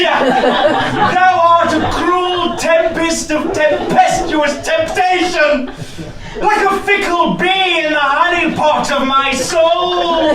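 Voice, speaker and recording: An actor playing an arrogant young rake in a rhyming costume comedy, declaiming with pompous, sneering relish. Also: male voice, very high voice, exaggerated voice. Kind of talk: theatrical costume comedy in rhyme. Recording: echoey room, recorded from far off.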